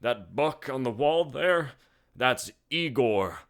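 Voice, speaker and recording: A man's voice: medium pitch, expressive, slow, voice pushed up. Man putting on a drawling old Western bartender. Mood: folksy, mysterious. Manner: drawling, folksy, theatrical. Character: folksy old male saloon bartender, boastful storyteller.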